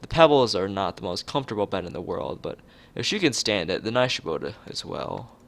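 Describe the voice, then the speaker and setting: A man reading a story game aloud in an expressive narrating style, occasionally stumbling over words. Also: on the low side, fast, gravelly. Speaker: let's player reading a visual novel aloud.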